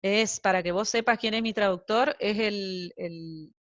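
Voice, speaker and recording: A woman's voice: mid-range voice, a little slow, dry recording. Chatty Argentine woman, muffled dry sound. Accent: Argentine Spanish. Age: young adult to middle-aged, mother of young children. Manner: chatty, rambling with many fillers and asides. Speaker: translator guest. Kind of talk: casual interview chat.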